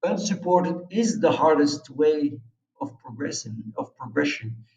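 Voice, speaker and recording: A man's voice: fairly high pitch, animated, a little slow, a little mellow. A man from Belgium with a Belgian accent, speaking English as a second language as an adventurer being interviewed. He talks conversationally and emphatically about his own experiences. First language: not English. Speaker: interview guest, expedition adventurer. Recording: roomy, distant, dull microphone sound.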